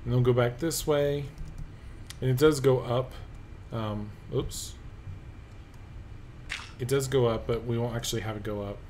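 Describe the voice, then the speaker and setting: A man narrating gameplay as a let's play host, relaxed and thinking aloud with many pauses and ums. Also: medium pitch, a little hiss or hum. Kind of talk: casual game commentary. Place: at a computer, voice-over of gameplay.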